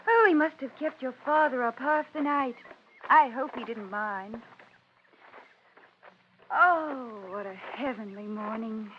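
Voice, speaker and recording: A woman's voice: on the high side, slow pace, long pauses. An actress playing a breezy, teasing young woman in an old-time radio drama, airy and a little condescending. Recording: dull, noisy vintage radio recording.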